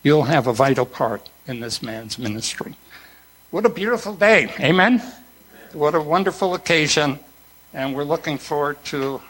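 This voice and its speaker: A man preaching a commissioning address, stressing key phrases with deliberate emphasis. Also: a little high, fairly bright tone, low-quality recording.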